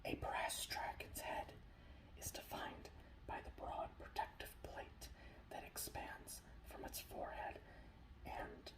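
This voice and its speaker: Male ASMR creator, breathy whisper with playful halting repeats, recorded roomy and slightly distant. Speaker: ASMR creator showing painted miniatures and reading lore.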